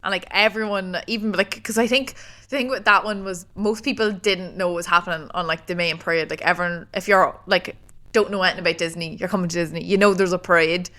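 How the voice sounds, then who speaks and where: Irish female podcast co-host, bright lower voice, talkative and agreeable. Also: fairly even intonation. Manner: chatty, quick to agree, many fillers. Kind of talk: casual chat.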